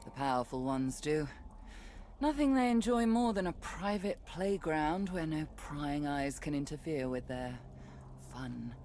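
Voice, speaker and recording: A guarded, commanding woman guide in a fantasy video game. Her voice sits low, with a dry, sardonic and measured delivery. Faint noise and ambience lie underneath. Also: animated, darkish tone. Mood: wry.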